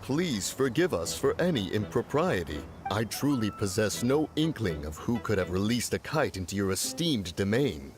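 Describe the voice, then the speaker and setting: A man's voice: on the low side, sing-song, normal speed, few pauses. A man voicing a stern ancient beast spirit with stiff formal politeness, in a game cutscene. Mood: courtly. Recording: game audio with music underneath.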